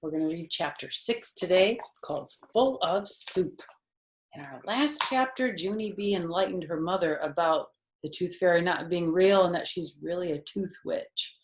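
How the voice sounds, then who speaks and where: A woman's voice: fairly low pitch, moderate pace. An older woman reading for children, chatting conversationally and putting questions to young listeners. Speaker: children's story reader. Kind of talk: children's storytime narration. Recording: roomy, echoey home recording.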